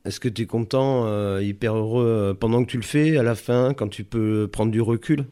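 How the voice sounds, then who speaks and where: A man's voice: on the low side, moderate pace, dark tone, clear, resonant tone. A man hosting a podcast interview, prompting his guest and finishing his thoughts. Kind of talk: interview questions.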